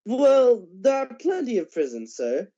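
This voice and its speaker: A man playing a polite, earnest gentleman collecting for charity in a radio drama, speaking formally and a little haltingly. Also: very high-pitched, wildly swooping pitch, lo-fi sound, full character voice.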